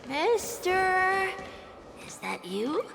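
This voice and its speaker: A woman's voice: extremely high pitch, very animated, very slow, vocal fry, faint music behind, full character voice. A woman voicing a sweet, curious, dreamy young girl with a childlike, wistful manner in a video game. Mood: curious, soft. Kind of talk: video game cutscene acting. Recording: long reverb, loud background noise.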